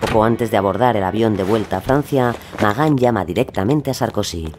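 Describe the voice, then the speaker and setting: A man's voice: on the low side, fairly quick, darkish tone, soft background music. A man delivering scripted narration for a documentary audio drama.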